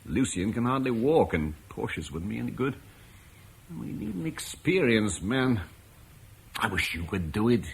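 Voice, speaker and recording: A man's voice: on the low side, big pitch swings, measured pace, gravelly, slight background noise, heavily acted. An actor playing Julian, a proud landowner refusing to leave his home, in an old radio play. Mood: uneasy. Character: proud Romano-British landowner Julian, stubborn. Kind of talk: 1950s BBC radio drama acting.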